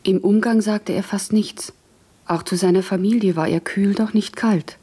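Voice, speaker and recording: An actress playing a deferential, anxious country housemaid looking for a position in a German radio play, meek and dutiful. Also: female voice, medium pitch, fairly even intonation, moderate pace, warm tone, husky, close to an everyday voice. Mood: earnest. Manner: meek, dutiful, short obedient answers.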